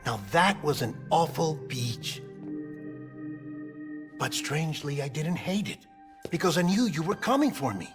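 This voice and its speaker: An actor playing a melancholy, earnest lab-grown man in a cinematic video game, speaking with deliberate, confessional phrasing. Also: a little high, sing-song. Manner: deliberate, storytelling delivery. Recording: background music under dialogue.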